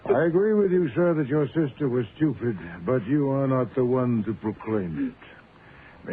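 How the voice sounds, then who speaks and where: An actor playing a pompous, shrewd, imperious private detective in a radio mystery, with erudite, deliberate, theatrical phrasing. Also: male voice, a little high. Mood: stern. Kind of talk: radio mystery drama acting. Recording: narrow, tinny, noisy old-time radio recording.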